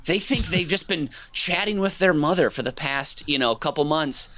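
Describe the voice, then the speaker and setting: Bright-toned rambling man on a podcast. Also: fairly high pitch, lively intonation, moderate pace. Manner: rambling, self-mocking, lots of fillers and restarts. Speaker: podcast co-host. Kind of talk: casual comedic chat.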